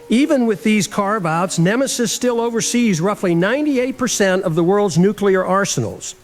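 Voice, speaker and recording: A man playing a measured, evasive deputy director who defends his program in formal, diplomatic language in an audio drama interview. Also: sits high. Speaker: interviewed government official. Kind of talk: scripted radio drama interview.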